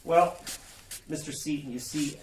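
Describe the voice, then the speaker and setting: A man playing an unflappable, slightly fussy judge with a halting, courteous manner in a retro radio horror play. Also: on the high side, darkish tone, a little hiss or hum, close to an everyday voice. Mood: cautious. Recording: roomy, echoing sound.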